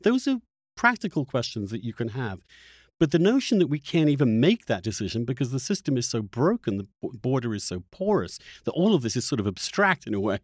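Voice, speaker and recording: A man with a medium-pitched, very lively voice hosts an interview in a dry, close recording. He is opinionated and emphatic, pressing his argument through pointed questions. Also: brisk, dry recording. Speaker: podcast host.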